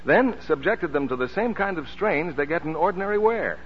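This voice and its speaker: A man's voice: a little high, animated, a little fast. A man announcing and selling on 1940s radio, with scripted, emphatic sales delivery. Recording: dull, reverberant, faintly noisy old radio recording.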